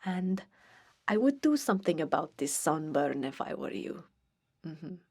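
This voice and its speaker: Woman as a haughty vampire in breathy whispers. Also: sits low, sing-song, a little mellow, heavily acted. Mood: haughty dismissal. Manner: disdainful, formal, archaic phrasing, deliberate. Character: haughty, cold, centuries-old female vampire blood hunter recruiter. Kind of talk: whispered ASMR roleplay monologue.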